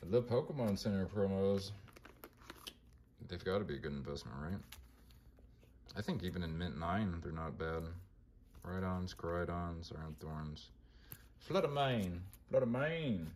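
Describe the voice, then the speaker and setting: A man with a monotone voice casually talking through his card collection, mumbling names as he flips through binders. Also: low-pitched, measured pace, pauses often, slight background noise. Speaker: collector vlogger.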